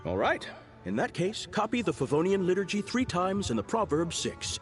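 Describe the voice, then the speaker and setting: A composed male cardinal from a video game. His delivery is formal and measured, yet melodic and gently wry. Soft music plays underneath. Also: high-pitched, sing-song. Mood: solemn, gentle. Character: composed, devout male cardinal, a fatherly churchman. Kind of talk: scripted video game dialogue.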